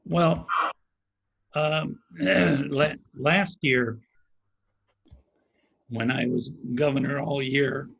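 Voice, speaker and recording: A man's voice: mid-range voice, fairly even intonation, vocal fry, a bit bright, lo-fi sound, slight background noise. A former district governor who grew up in Mexico with Spanish as his first language, answering on a video call with many fillers and a cracking voice. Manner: halting, many fillers and restarts.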